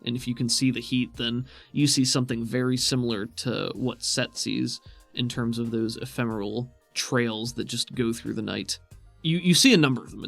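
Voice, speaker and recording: A man's voice: mid-range voice, creaky, a bit bright. A man working as game master, casually improvising descriptions for a tabletop game in a conversational way.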